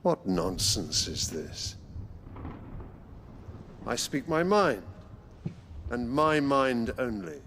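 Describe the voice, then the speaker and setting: An imperious aging emperor in a fantasy game drama. His gravelly voice swings widely in pitch and moves at a slow, commanding pace, pompous and quick to temper. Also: very animated, fairly bright tone, a little hiss or hum, exaggerated voice. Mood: haughty, defiant.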